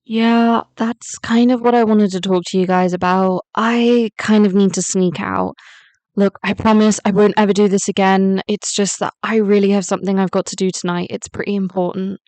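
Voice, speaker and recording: A woman's voice: mid-range voice, fairly even intonation, normal speed, darkish tone, dry recording, close to an everyday voice. A young woman playing a hurt, unforgiving teenage schoolgirl in an amateur voiced game series, speaking in an emphatic conversational way. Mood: pleading, hesitant.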